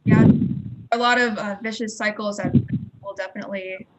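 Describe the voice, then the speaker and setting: A woman's voice: mid-range voice, steady tone, normal speed, heavy background noise. A woman hosting a panel discussion over a video call, guiding the conversation and putting questions to guests. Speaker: panel moderator.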